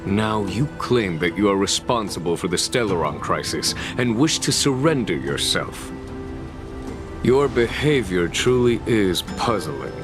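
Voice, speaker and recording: A shrewd game general with a deep voice. He is composed, formal and dryly authoritative, with music clearly playing behind him. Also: expressive. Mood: stern, measured. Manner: formal, measured, dry. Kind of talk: video game voice acting.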